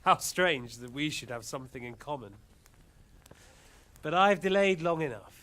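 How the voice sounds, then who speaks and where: Smug, theatrical villain, swooping pitch. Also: male voice, expressive, fairly bright tone. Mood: mock-polite menace. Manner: theatrical, mock-polite, menacing, deliberate. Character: smug, cruel male warlord taunting his captive. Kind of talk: dramatic video game cutscene acting.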